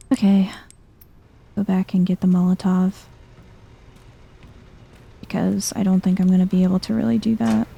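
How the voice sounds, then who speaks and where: A soft-spoken woman doing chill, playful ASMR game commentary, often close to a whisper. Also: lowish voice, vocal fry. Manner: chill, playful muttering to herself. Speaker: gaming video host.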